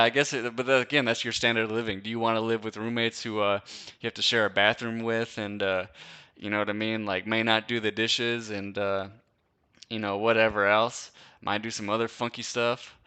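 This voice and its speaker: Young guy from wisconsin doing a chill live q&a, bright clear voice, says dude a lot. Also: on the low side, fairly even intonation, fairly quick. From Wisconsin, USA. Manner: laid-back slangy chat, lots of "dude" and "bro", frequent laughs. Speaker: host. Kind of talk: casual live-stream Q&A.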